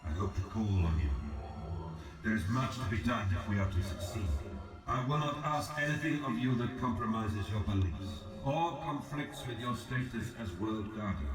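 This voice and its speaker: A male actor playing an ancient fallen god in a video game, grave, ambitious and patient, speaking in solemn, formal, measured oratory. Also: fairly low pitch, lively intonation, close to an everyday voice. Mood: measured, commanding. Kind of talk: scripted video game character dialogue. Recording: roomy, distant voice over game music.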